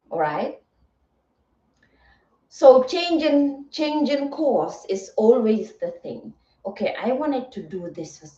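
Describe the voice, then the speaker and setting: A woman's voice: lowish voice, warm tone. A woman giving a live motivational talk in a soothing, earnest and reflective way, often checking in with her listeners. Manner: earnest, reflective, rhetorical check-ins like "right?" and "okay". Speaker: livestream host and motivational content creator. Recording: roomy echo, distant mic, slightly muffled.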